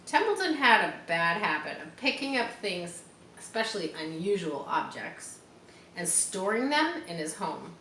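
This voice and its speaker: A woman's voice: lowish voice, very animated, unhurried, bright, forceful tone. A woman reading a storybook aloud to children expressively, with explanatory asides. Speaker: storybook reader. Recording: echoey room, faint background noise.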